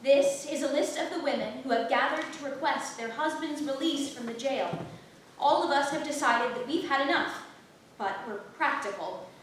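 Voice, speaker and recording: A woman's voice: sits high, normal speed, natural voice. A woman playing a weary, practical young maidservant in love in a staged play reading, earnest and exasperated. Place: theatre stage, distant microphone. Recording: very echoey theatre, far-off mic, faint noise.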